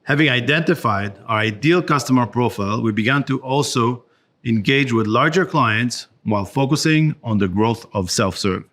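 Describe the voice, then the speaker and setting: A man's voice: mid-range voice, lively intonation, normal speed, a bit bright. A man speaking as a chief executive, reading formal closing remarks to investors from a prepared script.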